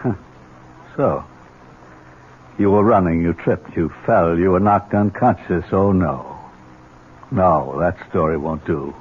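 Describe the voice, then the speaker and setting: An actor playing a sly, cynical older uncle, sardonic and insinuating, in a vintage radio drama on a tinny old recording. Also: male voice, deep voice, takes it slowly, long pauses, husky, exaggerated voice. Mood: sly needling.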